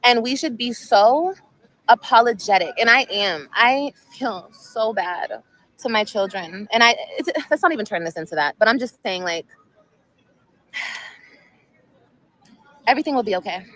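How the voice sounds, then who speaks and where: A woman's voice: a little high, animated, moderate pace, pauses often, a bit bright, poor audio. A woman in her thirties chatting casually on a livestream outdoors at sunset, emotional and rambling, repeating herself often. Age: 30s. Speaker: vlogger.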